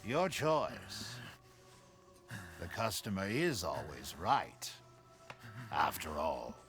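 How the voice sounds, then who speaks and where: An actor playing a genial, enigmatic video game merchant who speaks with theatrical, courtly politeness. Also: male voice, low voice, big pitch swings, drawn-out words, fairly bright tone, faint music behind, heavily acted. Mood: coaxing, mysterious. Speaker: game shopkeeper.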